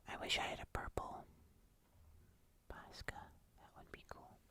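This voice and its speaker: An ASMR host speaking softly and unhurriedly, musing aloud in whispered narration while doing a craft. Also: unhurried.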